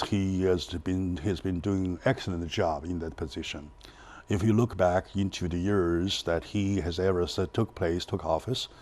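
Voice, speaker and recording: A man hosts a community TV talk show. He speaks haltingly, restarting phrases and filling gaps with "uh". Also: deep voice, a little mellow. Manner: halting, many restarts and fillers. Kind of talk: community TV interview.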